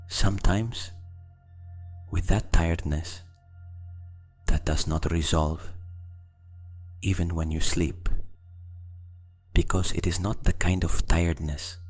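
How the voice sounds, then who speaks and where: Very deep-voiced man guiding meditation. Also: slightly rough. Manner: slow, deliberate phrasing with long pauses. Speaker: guided meditation narrator. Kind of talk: scripted guided meditation narration.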